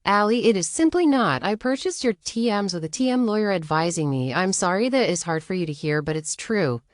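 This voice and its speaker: A woman doing a dramatic reading of texts as a cool, dismissive woman, measured and matter-of-fact. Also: mid-range voice, lively intonation, no echo, clean studio sound, lightly acted. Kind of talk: dramatic reading of text messages.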